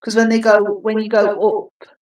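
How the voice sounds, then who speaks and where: A woman's voice: medium pitch, normal speed, recorded from a distance. A woman in her forties in casual, rambling chat, talking eagerly and jumping between thoughts. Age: 40s. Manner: chatty, rambling, excitable.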